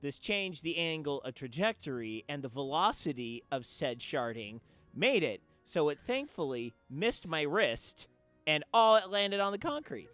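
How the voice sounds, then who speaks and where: A man streaming a game and chatting casually, telling stories with theatrical, drawn-out emphasis. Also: fairly high pitch, big pitch swings, a bit bright, no echo, soft background music. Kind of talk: casual chat, storytelling.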